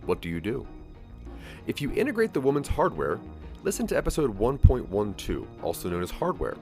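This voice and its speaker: A man's voice: medium pitch, huge pitch swings, a little fast, darkish tone, soft background music. A man giving a scripted second-person narration for an audio drama.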